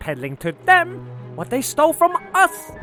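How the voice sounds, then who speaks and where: High, very lively storyteller for a gangster radio play, wry and colloquial female actor, music underneath. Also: normal speed, full character voice. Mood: wry, eager. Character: lively storyteller recounting gangster exploits with relish. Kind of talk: scripted narration in an audio drama.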